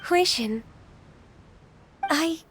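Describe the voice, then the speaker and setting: A woman playing a gentle, modest, ageless secretary in a video game, speaking politely and with sincerity. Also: extremely high pitch, animated, very slow, slight background noise, exaggerated voice. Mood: moved, reflective. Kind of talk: scripted game character dialogue. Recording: game dialogue over clear background music.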